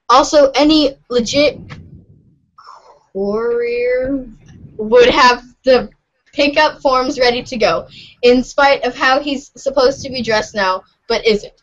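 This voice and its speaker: A woman reading webcomic narration aloud in an informal, giggly way for a fan podcast. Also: a little high. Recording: dull lo-fi voice recording.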